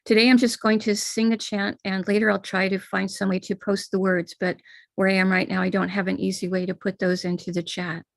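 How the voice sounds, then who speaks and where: A middle-aged American woman leads a ritual over a live stream, explaining each step in a calm, gentle way. Her voice has a gravelly fry to it, and the sound is close and dry with no room echo. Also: medium pitch, brisk. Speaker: spiritual teacher leading a ritual.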